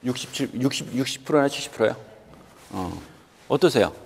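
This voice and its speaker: A man's voice: medium pitch, expressive, fairly quick, creaky, breathy edge. A man in his fifties from South Korea gives a motivational lecture to students, emphatic, questioning listeners directly and repeating key points. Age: 50s. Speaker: guest lecturer, company executive. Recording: reverberant hall sound.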